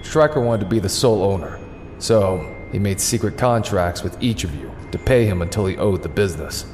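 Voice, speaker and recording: A man's voice: low voice, expressive, fairly quick, a little mellow, big-room sound. A man playing a stern, composed masked vigilante detective in an audio drama, with some noise behind. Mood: stern, explaining.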